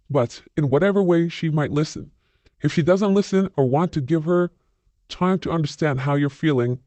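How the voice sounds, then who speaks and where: A man with a slightly high voice reads viewer comments aloud and cuts in with his own blunt, scornful verdicts. His delivery is opinionated and dismissive. The recording is dry and close, with no room sound. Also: a little mellow, no echo. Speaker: channel host reading viewer comments.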